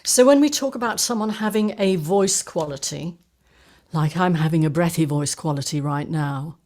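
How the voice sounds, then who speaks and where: An older woman voice coach explaining singing technique in a lively, explanatory way, recorded in a home studio. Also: low voice, moderate pace. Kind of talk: teaching conversation on singing technique.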